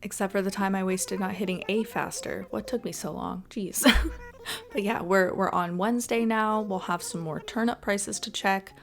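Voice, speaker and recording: A woman's voice: mid-range voice, steady tone, normal speed, gravelly. A woman giving casual, chatty gameplay commentary in an informal way. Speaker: gaming video host.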